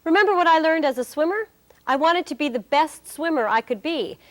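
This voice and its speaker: A woman's voice: high voice, animated, fairly quick, fairly bright tone. A woman acting as a wry, cheerful presenter, giving scripted instruction in a corporate training film. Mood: playful.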